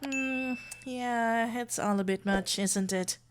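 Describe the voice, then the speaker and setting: A woman's voice: a little high, takes it slowly, faint music behind. A woman playing a sweet, flustered florist best friend in an ASMR roleplay, playful and awkwardly hesitant. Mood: friendly, frazzled. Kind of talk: ASMR roleplay acting, one-sided dialogue.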